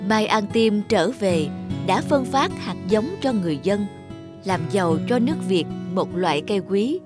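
A woman's voice: mid-range voice, lively intonation, moderate pace, music in the background. A woman reading scripted fairy tale narration as a storyteller.

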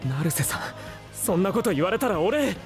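A man playing a smitten young college student housekeeper in an anime trailer, expressive in his delivery. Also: high-pitched, fast talker. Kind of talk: anime trailer narration and dialogue. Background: music.

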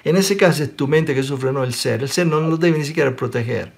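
A man in his forties from Sicily who speaks with an Italian accent, giving an animated spiritual talk in an online question-and-answer session and often checking in with listeners. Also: a little high, a little fast, gravelly. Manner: animated, gestures a lot, checks in with listeners by asking "ok?". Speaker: spiritual teacher. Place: small room indoors. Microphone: webcam close up.

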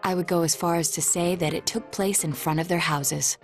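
Game role: young detective genius female actor, low register, cool-headed, formal, precise, logical; music bed. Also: fairly even intonation, a little fast, lightly acted. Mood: analytical. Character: calm, analytical young detective in a teenage group. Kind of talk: video game voice acting. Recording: music under the dialogue.

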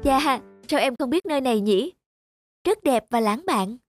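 A woman playing a polite but exacting young woman in a cartoon dub, recorded with loud music underneath. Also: high-pitched, lively intonation, moderate pace, no echo, studio quality. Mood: admiring. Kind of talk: animated cartoon dubbing.

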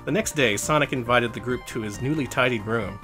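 A man's voice: medium pitch, a little fast, bright, forceful tone, soft background music. A man narrating a children's storybook expressively and theatrically. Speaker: storybook reader on a livestream show.

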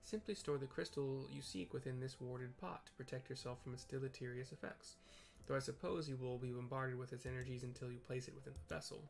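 Man acting a pompous, gravelly game professor. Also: mid-range voice, steady tone, fairly quick, few pauses, lightly acted. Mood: concerned, condescending. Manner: theatrical, pompous, archaic scholarly diction. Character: pompous, wordy male professor of crystal science. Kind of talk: reading game dialogue aloud.

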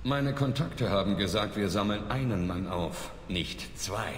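Low-voiced stern commander. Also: male voice, lively intonation, a little slow. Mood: wary, businesslike. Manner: firm, questioning. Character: stern, self-assured male military commander. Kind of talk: video game voice acting. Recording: echoey hall, faint ambient noise.

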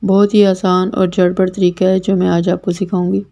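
Brief: Pakistani woman cooking host, dark gravelly tone, plain step-by-step instructions. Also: lowish voice, flat delivery, normal speed. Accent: Pakistani Urdu. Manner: plain, repetitive instructional delivery. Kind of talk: step-by-step recipe voice-over. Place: home kitchen.

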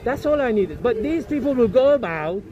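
A man's voice: extremely high pitch, sing-song, normal speed, highs cut off. A middle-aged man questioning a passer-by in a busy park, in a teasing, pressing way with a put-on foreign accent. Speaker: street debater filming passers-by. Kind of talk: confrontational street questioning. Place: busy city park outdoors. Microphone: handheld phone camera, close. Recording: loud outdoor noise, crowd around.

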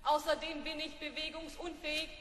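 An actor playing a cheeky, stubborn robot in a German radio play, speaking formally, precisely and deadpan. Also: sits high, a little fast. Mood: sulky, defiant. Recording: echoey studio with long reverb.

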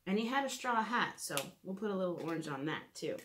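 A woman in her thirties, a children's teacher, talks gently and simply through a drawing lesson, thinking aloud, recorded in a living room by a camera a few feet away. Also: medium pitch, animated, fast, slight background noise. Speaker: children's art and reading teacher. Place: living room at home, indoors.

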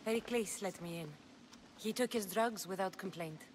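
A woman's voice: mid-range voice, moderate pace, slight background noise, close to an everyday voice. An actress playing a tough female mercenary of ancient Greece in a game, speaking directly and guardedly. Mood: steady. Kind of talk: video game cutscene acting. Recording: music under the voice.

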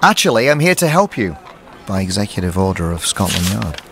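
Quirky wry male eccentric acted, hugely animated pitch, flippant, eager, faint background noise, audio drama. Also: fairly quick.

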